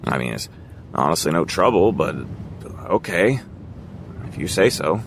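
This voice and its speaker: An actor playing an obliging, easygoing sailor in an audio drama, talking casually and a little haltingly. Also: male voice, sits low, sing-song, vocal fry, slight background noise, heavily acted.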